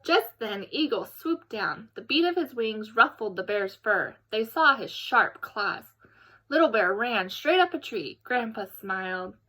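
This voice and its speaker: A woman narrates for young children with an expressive read-aloud delivery. Her voice sits a little high and has a very bright tone. She is animated and speaks straight to her listeners. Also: unhurried, rough recording. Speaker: storybook reader.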